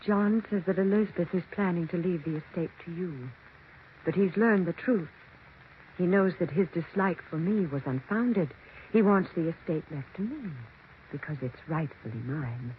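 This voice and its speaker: A muffled 1940s radio suspense recording carries an actress as a domineering American mother who claims to channel the dead. Her low female voice is stern and deliberate, issuing commands and ominous messages over background noise. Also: expressive, close to an everyday voice. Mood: ominous, insistent.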